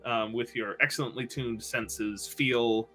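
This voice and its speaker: A man's voice: medium pitch, steady tone, measured pace, bright, forceful tone, faint music behind. A man narrating a tabletop game as game master, conversational and descriptive with a playful streak. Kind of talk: improvised tabletop game narration among friends.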